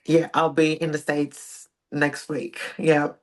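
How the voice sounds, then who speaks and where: A young woman from Greece, a pro bodybuilder speaking relaxed and soft-spoken as a podcast guest. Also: low voice, slow. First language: Greek. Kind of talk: casual interview.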